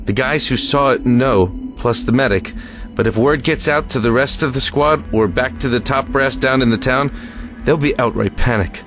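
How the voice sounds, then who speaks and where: A man's voice: medium pitch, normal speed, natural voice. A man playing a weary, guarded American army lieutenant in an audio drama, speaking in a clipped, plain way with military authority. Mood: grave, secretive. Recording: music under the voice.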